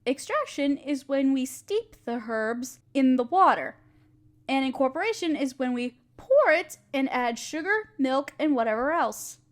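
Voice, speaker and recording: A woman playing a kind, patient alchemist who gently teaches and reassures an apprentice in an intimate audio roleplay. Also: sits high, animated, a little slow. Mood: friendly, enthusiastic. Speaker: voice actor in a scripted audio roleplay. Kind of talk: intimate scripted roleplay, one-sided conversation with listener.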